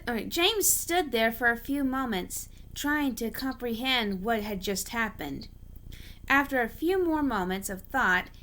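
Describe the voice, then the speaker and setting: A woman narrates a story as an amateur reader. Her voice is fairly high and sharply bright. She often stumbles and goes back over a phrase. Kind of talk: storybook narration read aloud.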